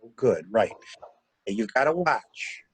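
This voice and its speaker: A man reading the part of a cool, scheming traveler in a murder mystery drama, brisk and instructing with a wry, playful streak. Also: lowish voice, very animated, slightly rough, dry recording, heavily acted. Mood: commanding. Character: cool, scheming male traveler taking charge of a crime cover-up.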